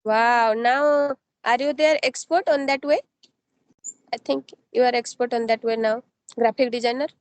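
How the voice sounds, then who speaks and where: A woman's voice: fairly high pitch, fairly even intonation, normal speed, no echo. A woman from Odisha whose first language is Odia, chatting in simple, careful English as a practice conversation. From Odisha, India. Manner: simple, careful non-native phrasing. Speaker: guest, advocate.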